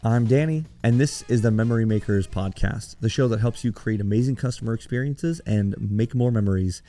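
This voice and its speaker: A man hosting a podcast alone, talking in a conversational, explanatory way, his voice hoarse and raspy from cheering. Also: fairly low pitch, fairly quick, dark tone, soft background music. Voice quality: gravelly, raspy. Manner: conversational, explanatory, with some restarts. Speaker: podcast host. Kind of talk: solo business podcast talk.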